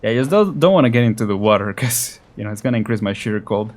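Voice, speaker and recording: A man's voice: lowish voice, expressive, quick pace, darkish tone. A man hosting a gaming video with casual commentary, offhand and chuckly, muttering asides as he plays. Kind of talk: casual let's play commentary. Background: game music.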